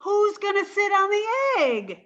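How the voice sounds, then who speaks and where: A woman's voice: extremely high pitch, very animated, measured pace, highs cut off, exaggerated voice. A woman playing a naive, earnest, scatterbrained young woman in 1940s-style radio comedy. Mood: dismayed. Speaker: actress in theatre company.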